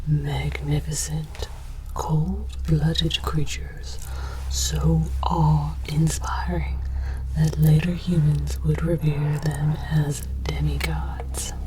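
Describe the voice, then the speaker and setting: A woman whispering scripted ASMR narration as an alien archaeologist, with a deliberate, theatrical, mock-scholarly delivery. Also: low-pitched, level tone, poor audio. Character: alien archaeologist researcher, scholarly and condescending about humans. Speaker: host of an ASMR series.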